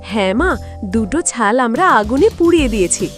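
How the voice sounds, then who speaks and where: Woman playing a high, animated young princess. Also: normal speed, loud music behind the voice. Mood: eager. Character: excitable young princess, polite and eager.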